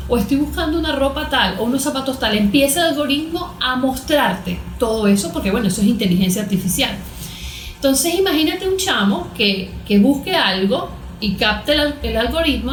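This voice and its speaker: A woman with a Venezuelan accent explaining as an expert guest in a conversational interview, didactic, posing questions and answering them. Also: high-pitched. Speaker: guest expert, cognitive therapist. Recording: echoey room.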